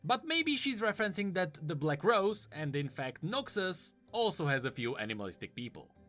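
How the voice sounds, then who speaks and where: Bright, animated man explaining game lore. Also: sits high, moderate pace, soft background music. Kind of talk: scripted narration and commentary.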